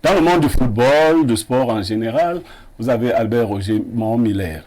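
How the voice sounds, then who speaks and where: Man, Cameroonian French accent, TV debate guest, proud, expansive, lists names, mid pitch. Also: expressive, moderate pace, darkish tone. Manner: expansive, listing names with playful pride. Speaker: panel guest. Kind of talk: studio debate chat. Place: TV studio.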